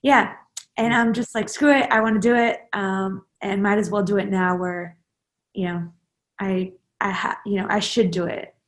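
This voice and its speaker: A woman's voice: medium pitch, steady tone, normal speed. Woman in her 20s, interview guest, loose rambling delivery with lots of ums and restarts. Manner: rambling, many fillers and restarts. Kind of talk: casual interview chat.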